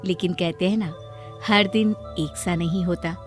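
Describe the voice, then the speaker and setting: A woman's voice: on the low side, fairly even intonation, a little slow, music in the background. A woman narrating a scripted moral story as a storyteller.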